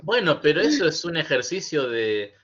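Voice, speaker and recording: Animated, deliberate Argentine man, bright voice. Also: on the high side. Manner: emphatic, deliberate, thinking aloud. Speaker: moderator of a lecture series. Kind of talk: reflective academic commentary. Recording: dull, lo-fi online audio.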